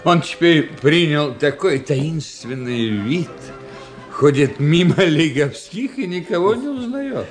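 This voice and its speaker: An actor playing a witty, sardonic gentleman confidant in a radio play, speaking in a wry, expressive theatrical way. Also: a little high, takes it slowly. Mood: wry, amused. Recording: radio play with faint music behind.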